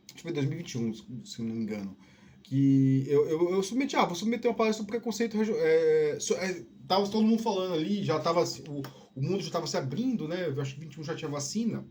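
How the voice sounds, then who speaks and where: A man with a softened Northeastern Brazilian accent and a high-pitched voice talks on his own in a loose, casual monologue. He rambles, restarts his sentences, and keeps tagging them with little checking questions. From Northeast Brazil (Piauí family). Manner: rambling, many restarts and "né?" tags. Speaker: podcast host.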